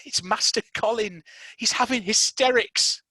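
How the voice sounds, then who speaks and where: A storyteller voicing a flustered, kindly young housemaid who talks in a fretful, hurried way. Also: medium pitch, pushed and bright, husky, no echo, pitched above the performer's own voice.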